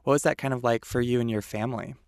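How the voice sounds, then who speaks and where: A man's voice: mid-range voice, steady tone, quick pace, darkish tone, dry recording, clean studio sound. A man hosting a podcast, introducing the show and interviewing a guest in a friendly, attentive manner. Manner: friendly, attentive, encouraging listener. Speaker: podcast host.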